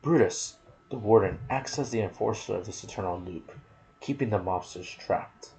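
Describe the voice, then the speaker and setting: A man narrating game lore in ASMR style, soft and breathy, often slipping toward a whisper, musing aloud in casual asides. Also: lowish voice, moderate pace, a little hiss or hum, low-quality recording. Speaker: gaming video narrator.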